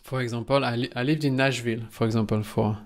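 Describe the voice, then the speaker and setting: A man's voice: medium pitch, steady tone, fast talker. A man teaching supply chain basics in a loose, conversational way, often restarting phrases and checking in with "okay?". He speaks into a close microphone in a small indoor room. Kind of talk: casual explainer lesson. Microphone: close microphone in front.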